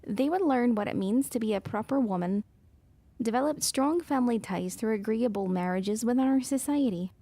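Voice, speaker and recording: A woman acting a demure, mellow-voiced young lady. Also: fairly high pitch, moderate pace, dry recording. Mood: earnest. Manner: proper, polite, soft-mannered. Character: demure, agreeable, determined nineteen-year-old society lady. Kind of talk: period audio drama acting.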